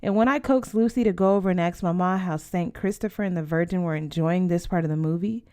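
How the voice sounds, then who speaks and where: A woman's voice: on the low side, fast, a little mellow. A woman narrating a first-person story as an audiobook reader, in a scripted storytelling style.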